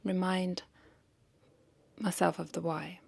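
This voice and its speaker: Woman vlogging, lowish voice w lots of fry, rambly confessional chat, unsure and thinking out loud, repeats herself. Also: level tone, slow pace. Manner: hesitant, reflective, self-questioning, repeats phrases. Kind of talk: casual confessional monologue to camera.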